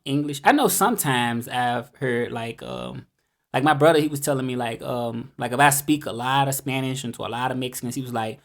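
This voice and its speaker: A man in his thirties with a Southern US accent, talking casually to camera in a rambling vlog full of fillers and restarts. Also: mid-range voice. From United States. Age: 30s. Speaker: vlogger. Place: home in Mexico, dogs barking outside.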